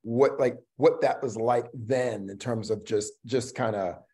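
Man, middle-aged, medium pitch, thoughtful, halting delivery, casual conversation on a video call. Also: gravelly, mellow. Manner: halting, reflective, trailing phrases. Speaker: actor and theatre artistic director. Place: indoor room at home, video call. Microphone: video call microphone.

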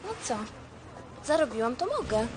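A woman's voice: high voice, big pitch swings, moderate pace. An actress playing a vain, foul-mouthed older woman who talks big and boasts. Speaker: film character, a broke woman selling shoes. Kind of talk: film acting.

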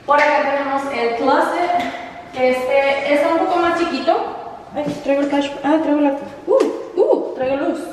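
A woman in her twenties giving a casual house tour vlog, bubbly and enthusiastic, using informal slang. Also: high voice. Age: 20s. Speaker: vlogger. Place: empty rooms of a house, indoors. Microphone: camera held by another person, few metres away. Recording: echoey empty rooms, distant mic, faint noise.

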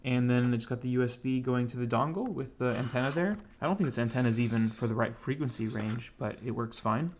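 A man's voice: medium pitch, a little fast, few pauses, a little mellow, slight background noise. Casual American man demoing tech. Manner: laid-back, informal. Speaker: hobbyist presenter of an electronics project. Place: indoors at a home computer desk.

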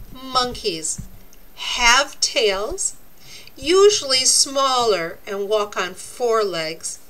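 A woman reading a nonfiction book aloud for young children, with deliberate, expressive, teacherly phrasing and explanatory asides. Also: fairly high pitch, low-quality recording.

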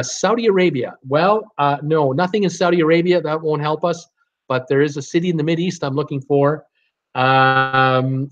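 A man in his sixties hosting a casual livestream quiz from a home office, reading out guesses and answering himself in short, clipped phrases. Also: on the high side. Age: 60s. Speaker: livestream host. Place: small home office, indoors. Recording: slightly dull webcam audio.

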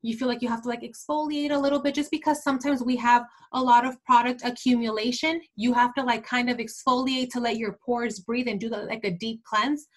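A woman's voice: on the high side, steady tone, brisk, hardly any pauses. A woman in her twenties giving a casual product training talk to her sales team, chatty and full of fillers like "like" and "um". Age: 20s. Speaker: team leader of a beauty sales team.

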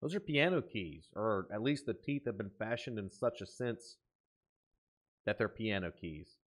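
A man in his thirties giving off-the-cuff reaction commentary, thinking aloud in an excitable but musing way. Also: mid-range voice. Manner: excitable, exclaiming, dropping to musing asides. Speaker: host of a reaction video. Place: small indoor room at a desk.

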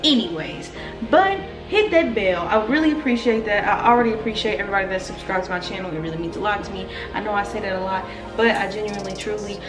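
A woman's voice: mid-range voice, fast talker, distant mic, music in the background. Chatty young woman in a roomy room. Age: 20s. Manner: chatty, blunt and playful, with slang and swearing. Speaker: YouTuber answering fan questions. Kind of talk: casual Q&A vlog. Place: indoor room near stairs. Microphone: camera mic, filmed from below.

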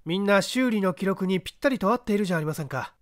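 A man's voice: high voice, expressive, very fast, dry recording, clean studio sound. A man voicing a coolly confident male detective in an audiobook, politely commanding and explaining. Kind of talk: audiobook character dialogue.

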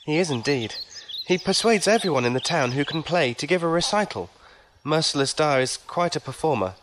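A man's voice: fairly high pitch, expressive, brisk, fairly bright tone, slight background noise. A man acting in an audio drama as a lovelorn, timid travelling musician, earnest and hesitant. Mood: wistful.

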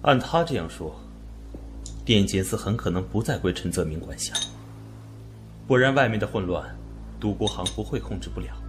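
An actor playing a shrewd, captive deposed emperor in a Chinese period audio drama. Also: male voice, on the low side, lively intonation, normal speed, pauses often, faint music behind, natural voice. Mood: calculating.

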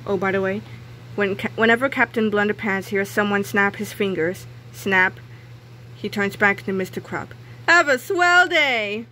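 Bright-voiced woman reading a children's book. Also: medium pitch, normal speed. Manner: expressive, acts out dialogue and sound effects. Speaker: book reader. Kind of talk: children's book read-aloud. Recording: clearly noisy home recording.

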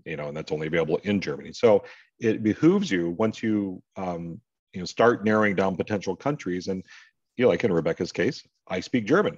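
A man's voice: low voice, no echo. A middle-aged American man hosting an online advising session, talking informally and explaining things directly to his audience. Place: indoors, on a video call. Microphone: video call microphone. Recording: dull, lo-fi video call audio.

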